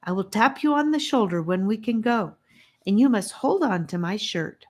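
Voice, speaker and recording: A woman voicing a little child who speaks in hushed, urgent tones. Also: mid-range voice, expressive, normal speed, pitched above the performer's own voice, close to an everyday voice. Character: little helper child, whispering secretly.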